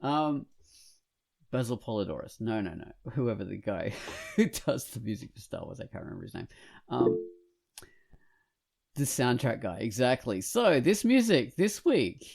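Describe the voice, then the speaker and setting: A man co-hosting a podcast, chatting and reviewing in an animated, rambling, jokey manner. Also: medium pitch, normal speed, vocal fry, pauses often. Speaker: podcast co-host. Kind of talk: casual podcast chat and featured comic review.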